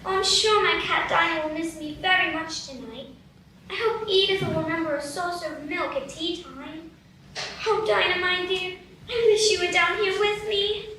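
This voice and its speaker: A woman's voice: very high-pitched, normal speed, bright, forceful tone, recorded from a distance, slight background noise. A woman voicing the curious young girl Alice in a live radio play, wondering and chatty. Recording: echoey room, mic from afar.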